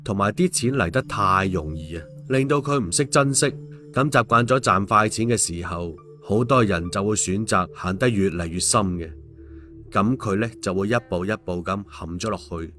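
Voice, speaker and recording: A man's voice: lowish voice, normal speed, a bit bright, soft background music. A man with a Hong Kong Cantonese accent narrating stories and commenting on them in a colloquial, opinionated way as host. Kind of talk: story narration and casual commentary.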